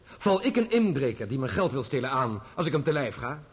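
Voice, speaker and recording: A proud, indignant witness in a courtroom radio drama. He answers formally and defensively, with a husky voice and lively intonation. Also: mid-range voice, brisk. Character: indignant, proud adult son testifying in court. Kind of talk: radio play courtroom acting.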